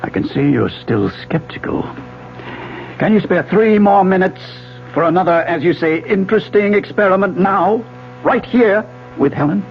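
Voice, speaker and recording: An actor playing an obsessive, secretive scientist husband in a 1970s radio drama, speaking in a deliberate, controlled, persuasive way. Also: male voice, high voice, expressive, a little hiss or hum. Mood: controlled insistence. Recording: muffled 1970s radio broadcast, music behind.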